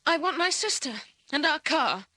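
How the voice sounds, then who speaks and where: A woman's voice: high voice, big pitch swings, normal speed, pushed and bright, no echo, exaggerated voice. An actress playing a frantic, pleading young woman in an old-time radio drama, breathless and insistent, sometimes stammering. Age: young adult. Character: frantic, pleading young woman seeking her missing sister.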